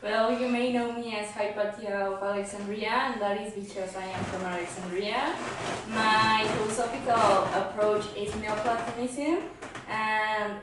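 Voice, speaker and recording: A woman's voice: medium pitch, a little hiss or hum, natural voice. A woman playing a gracious ancient philosopher as a guest in a talk-show skit. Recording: echoey room, distant mic, background music.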